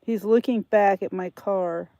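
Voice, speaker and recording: A woman's voice: mid-range voice, steady tone, unhurried, gravelly, dark tone, dry recording. An older woman vlogger with a congested head cold, chatting in a rambling, thinking-aloud way and often restarting her sentences. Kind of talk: casual rambling chat to camera.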